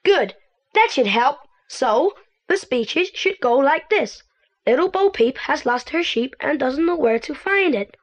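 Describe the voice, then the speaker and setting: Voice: high-pitched, animated, a little slow, dull audio. A young performer playing a confident teenage boy detective in a children's audio play, eager and articulate.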